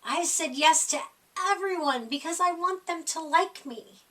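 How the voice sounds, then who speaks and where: A woman's voice: extremely high pitch, measured pace, fairly bright tone, recorded from a distance. A woman voicing an anxious, apologetic storybook groundhog who speaks hesitantly and earnestly. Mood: worried confiding. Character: people-pleasing, anxious, apologetic groundhog forecaster.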